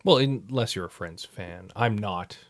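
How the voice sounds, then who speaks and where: A man's voice: lowish voice, a little slow. Man co-hosting a casual chat. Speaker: podcast co-host.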